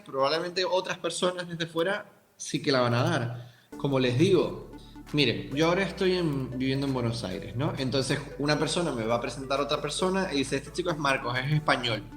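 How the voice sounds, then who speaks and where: A man's voice: a little high, a bit bright, roomy sound. A young man with a Canarian accent, a writer thoughtfully answering interview questions and speaking directly to the listeners. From Canary Islands, Tenerife. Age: young adult.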